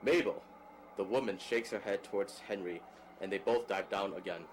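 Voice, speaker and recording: Deep guy narrator reading stage directions, noticeable background noise. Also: moderate pace, rough recording.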